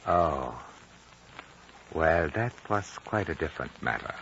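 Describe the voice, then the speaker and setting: Very deep, courteous lamasery official. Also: male voice, expressive, a bit bright, full character voice. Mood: calm, polite. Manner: formal, measured, ceremoniously polite. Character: courteous, evasive Chinese male lamasery official, soft-spoken diplomat. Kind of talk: 1950s radio drama acting. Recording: dull, noisy 1950 radio recording.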